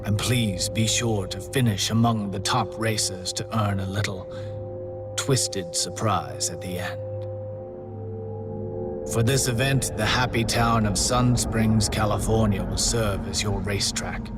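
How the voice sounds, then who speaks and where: A sinister contest master in a video game, acted by a man, low-voiced and slow. He announces each event with smug, mocking menace. Loud music runs behind him. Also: natural voice. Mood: mocking, sly. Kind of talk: scripted villain narration. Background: loud music, vehicles.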